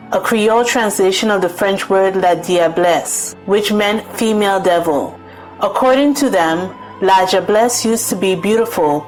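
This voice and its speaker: A woman's voice: medium pitch, poor audio. Lady narrating a folklore audio drama, scripted storyteller style, bit of music behind. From Caribbean.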